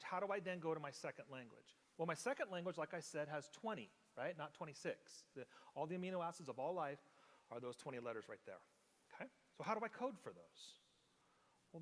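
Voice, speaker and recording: Male pastor, slightly higher voice, explanatory teaching sermon, long reverb of a church hall. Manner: explanatory, checks in with the congregation often. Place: church sanctuary.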